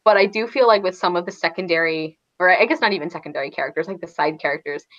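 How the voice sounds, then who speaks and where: A woman's voice: on the low side, quick pace, fairly bright tone, lo-fi sound. A woman in a casual, rambling book discussion, peppering her sentences with "like". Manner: rambling, frequent "like". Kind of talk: casual chat about books.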